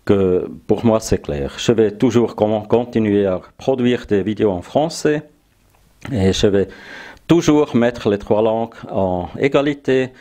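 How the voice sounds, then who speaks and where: A man shows off his workshop gear, speaking slowly and pausing often to hunt for words. His voice sits low and mellow. He talks in a casual, plain way. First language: German. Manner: hesitant, searching for French words. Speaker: YouTube hobby channel host.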